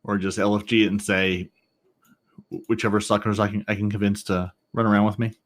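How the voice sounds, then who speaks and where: A man's voice: fairly low pitch, little pitch movement, fairly quick. A man hosting a gaming podcast, chatting casually in a wry, jokey way. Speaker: podcast host.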